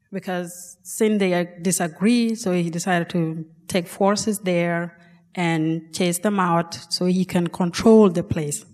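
A woman from South Sudan with a South Sudanese accent, telling a personal story on stage in a halting, unhurried way. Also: fairly low pitch, in a large hall. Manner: slow, halting, with restarts. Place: large performing arts hall.

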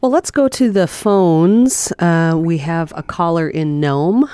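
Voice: medium pitch, slow, dark tone, clean tone, no echo. A radio host asking questions and taking calls in a polite, conversational way. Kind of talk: radio interview and call-in.

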